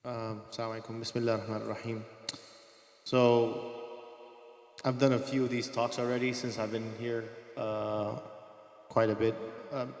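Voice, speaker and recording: A doctor giving a casual mosque lecture, chatty and relaxed, with many fillers. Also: male voice, mid-range voice, normal speed, long pauses. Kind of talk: casual lecture, personal stories. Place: mosque hall. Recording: echoey hall, long reverb.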